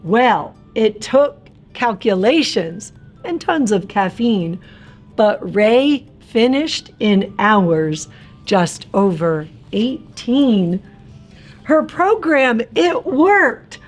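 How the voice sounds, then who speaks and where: Slow-paced woman reading a children's storybook. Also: a little high, big pitch swings, soft background music. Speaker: read-aloud narrator of a children's picture book. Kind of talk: expressive rhyming storybook narration for young children.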